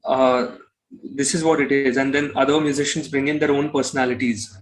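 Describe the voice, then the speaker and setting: A man's voice: medium pitch, steady tone, a little fast, poor audio. A young man with an Indian accent answering casually as an interview guest, explaining things reflectively. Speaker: interview guest, singer-songwriter.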